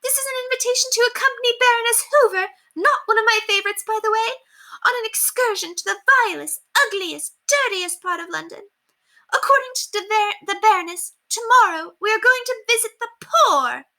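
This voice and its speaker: A woman's voice: very high voice, fairly quick, bright, forceful tone, pitched above the performer's own voice, full character voice. A woman voicing a haughty, melodramatic upper-class lady from a storybook, theatrical and gushing. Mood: outraged. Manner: theatrical, gushing, indignant exclamations. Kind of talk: storybook character voice read aloud.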